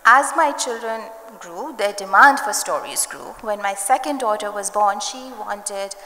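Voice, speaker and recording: A woman's voice: medium pitch, expressive, a little slow, pushed and bright. An older woman, a children's author and translator whose first language is Hindi, speaks reflectively and personally on a festival panel. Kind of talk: panel discussion answer. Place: literary festival stage. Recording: echoey hall with faint ambient noise.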